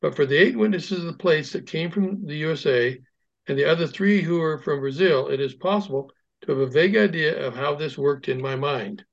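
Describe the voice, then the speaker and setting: A man's voice: on the high side, brisk, gravelly. Man reading aloud over dull audio. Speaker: group participant reading aloud.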